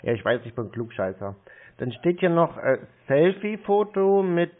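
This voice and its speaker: A man's voice: on the high side, big pitch swings, moderate pace. A man baiting a scam caller on the phone, talking in a dry, needling and pedantic way. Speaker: scam baiter on a phone call. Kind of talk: phone conversation. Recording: tinny phone line.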